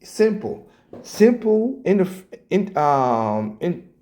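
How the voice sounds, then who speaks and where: A man with a scratchy, hoarse voice and a dark, mellow tone rants casually, blunt and profane. He trips over his words and repeats himself as he goes. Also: high voice, sing-song, slow pace. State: hoarse, coughing. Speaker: commentator reacting to clips. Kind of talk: casual rant.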